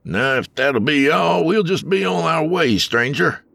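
A man voicing a gruff, wary wagon driver from the old west, speaking curtly. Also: a little high, animated, unhurried, a bit bright, no room sound, voice pushed up, heavily acted.

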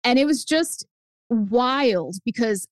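A woman in her fifties whose first language is Spanish, telling her family story as a guest author, animated and jumping up in pitch for emphasis. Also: takes it slowly, dry recording. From United States. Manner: animated, swings up high for emphasis. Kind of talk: personal storytelling in an interview.

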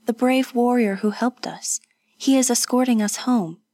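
A woman voicing a calm, tender and resolute young noblewoman in an audiobook, speaking gently and firmly. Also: on the high side, moderate pace, dry recording, voice pushed up, close to an everyday voice. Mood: reassuring. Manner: gentle, soothing, firm. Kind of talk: audiobook character voice.

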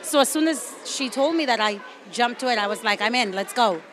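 A woman's voice: on the high side, animated, a little fast. A middle-aged woman from El Salvador, a parent answering interview questions informally and frankly. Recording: noisy outdoor crowd recording.

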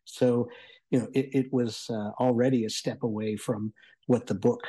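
A man with a mellow, soft-edged voice and a husky grain talks through a review as a guest. His delivery is conversational and thoughtful, often halting as he works toward his point. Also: medium pitch, fairly even intonation. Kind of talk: casual spoken review.

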